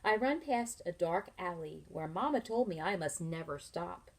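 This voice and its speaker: A middle-aged woman, a teacher reading a picture book aloud to children, expressively and with pauses to ask them leading questions. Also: on the low side, expressive. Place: small furnished room at home, indoors.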